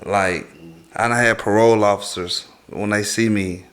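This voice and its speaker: A man's voice: lowish voice, steady tone, moderate pace, fairly bright tone. A man on a talk show panel chatting casually in slang, frank and opinionated, often checking that he is understood.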